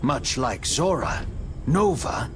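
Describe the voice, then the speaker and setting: A man delivering scripted, solemn and measured storybook narration for a video game cutscene. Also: medium pitch, very animated, slight background noise. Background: music.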